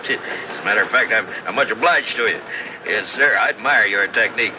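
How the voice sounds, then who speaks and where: A man's voice: lowish voice, brisk, natural voice. An actor playing a fat, folksy, garrulous traveling salesman, chuckling and stammering as he rambles in 1950s radio drama style. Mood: teasing, genial. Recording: noisy old 1950s radio recording.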